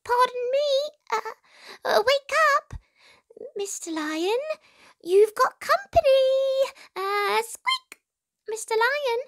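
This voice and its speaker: A woman voicing a tiny, meek male storybook mouse, squeaky, polite and hesitant but eager. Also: animated, takes it slowly, pauses often, a bit bright, heavily acted. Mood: nervous, polite.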